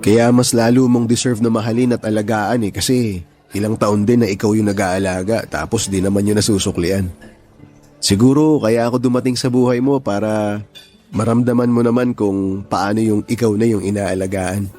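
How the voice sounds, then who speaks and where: An actor playing a devoted, sincere young suitor in a Tagalog radio drama, earnest and reassuring. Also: male voice, on the low side, fairly even intonation, moderate pace, warm tone, faint music behind, lightly acted. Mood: caring.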